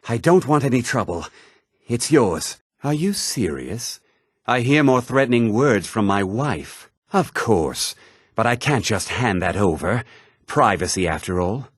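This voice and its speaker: A self-important, gossipy townsman from a fantasy video game. His husky voice runs a little low and swings widely in pitch, with articulate, theatrical phrasing. The sound is close and dry. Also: no room sound, full character voice. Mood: polite, wry. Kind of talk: scripted fantasy game character dialogue.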